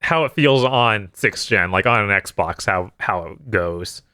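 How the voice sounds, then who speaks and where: A man's voice: on the low side, a little slow, bright, forceful tone, no echo. A man co-hosting a casual gaming podcast who answers with short, wry remarks and reacts with laughter. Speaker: podcast co-host.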